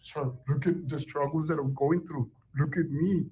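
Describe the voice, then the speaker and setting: Insistent high-voiced man, former teacher arguing his case. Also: fairly even intonation, moderate pace, dark tone, rough recording. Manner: insistent, repeats points for emphasis. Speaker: former school teacher and parent. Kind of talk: heated confrontation.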